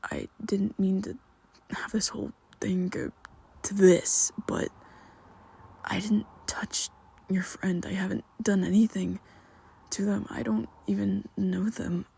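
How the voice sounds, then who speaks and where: A man voicing a young vampire who is his listener's best friend, speaking in a soft, breathy half-whisper with hesitant restarts, in an ASMR roleplay. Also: high-pitched, fairly even intonation, slow, full character voice. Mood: pleading, defensive. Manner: hesitant, stumbling restarts, pleading.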